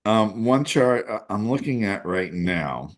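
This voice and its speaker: A man's voice: fairly low pitch, lively intonation, unhurried. A man co-hosting a casual talk show, giving chart commentary in a conversational way. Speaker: crypto show co-host, chart analyst.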